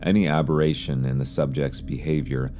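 A man reading scripted explainer narration in a measured, serious way, as a video narrator. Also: a bass voice, flat delivery, dark tone, faint music behind. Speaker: YouTube narrator.

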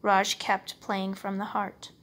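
A woman reading a picture book aloud as a storyteller, with expressive, measured, dramatic phrasing. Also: medium pitch. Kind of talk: expressive read-aloud narration.

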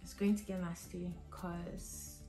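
A young woman with a slightly hoarse voice from a cold, chatting her way through a hair tutorial at home with lots of ums and restarts. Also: fairly low pitch, level tone, takes it slowly. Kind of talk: casual step-by-step tutorial. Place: living room at home, indoors. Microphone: camera in front of her at desk distance. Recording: echoey room with soft music behind.

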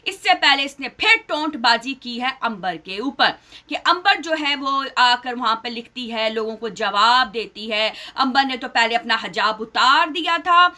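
A middle-aged woman vlogger delivers an indignant, scolding commentary straight to her webcam. Her voice sits high and sounds bright and sharp. She hammers her points home by repeating phrases. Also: expressive, unhurried. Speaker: reaction vlogger. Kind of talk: heated commentary to camera.

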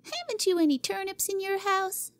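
A woman voicing a bossy, excitable little girl in a storybook reading, shrill and commanding. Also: a little slow, dry recording, studio quality, heavily acted. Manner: shrill, imperious, gleeful.

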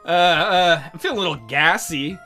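A man's voice: fairly high pitch, moderate pace, sharp tone, faint music behind. A man hosting a casual let's play, rambling and joking in a crude, sarcastic way. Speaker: gaming video host.

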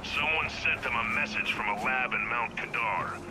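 A gruff older male commander in an action game, talking over radio with clear noise. He is terse and matter-of-fact, at an average pitch. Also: normal speed. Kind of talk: video game voice acting. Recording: noisy radio transmission.

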